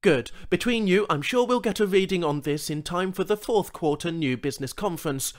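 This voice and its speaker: A man's voice: sits high, animated, fairly quick, pitched above the performer's own voice. A man voicing an impatient, brusque corporate executive in an audiobook story. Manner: brusque, absent, imperious.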